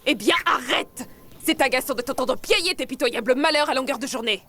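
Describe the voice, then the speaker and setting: Shrill-high, spiteful demon noblewoman. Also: a little fast, a bit bright, slight background noise. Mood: scornful. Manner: imperious, scornful. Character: haughty, spiteful demon noblewoman, scorned wife. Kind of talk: fan-dubbed adult cartoon acting.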